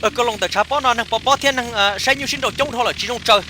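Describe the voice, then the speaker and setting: High-pitched guy doing chatty funny cartoon dialogue, talks quick, noisy homemade recording.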